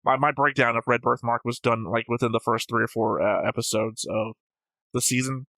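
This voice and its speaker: Casting: male co-host for casual anime talk, bright forceful voice, dry close sound, rambling and argumentative. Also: medium pitch, fairly even intonation, fairly quick, no echo. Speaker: reaction show co-host.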